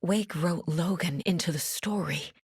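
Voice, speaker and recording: A low-voiced, determined female FBI agent. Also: a little mellow, slightly rough, dry recording, studio quality. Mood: pensive, serious. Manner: measured, serious, focused. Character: determined, level-headed adult female FBI agent investigator. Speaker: video game voice actress. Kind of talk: naturalistic dramatic game dialogue and inner monologue.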